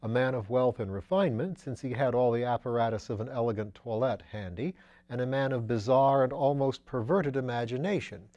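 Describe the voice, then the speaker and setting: A sober, methodical detective inspector from a mystery storybook, read by a man. He reasons step by step in a deliberate, measured manner at a middling pitch. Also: a little mellow, lo-fi sound, close to an everyday voice.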